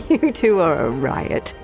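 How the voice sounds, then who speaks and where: A hospitable eatery boss in a video game. The delivery is affable and slow, with big swings in pitch. Faint music sits behind the voice. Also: female voice, exaggerated voice. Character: friendly female eatery boss, welcoming, jovial.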